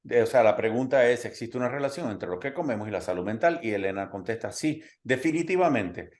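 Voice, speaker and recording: A chatty male host trades personal anecdotes in a relaxed conversational way, with plenty of fillers and a middle-pitched voice. He grew up between Cuba and Puerto Rico. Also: fairly quick. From Cuba, raised in Puerto Rico. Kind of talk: conversational interview.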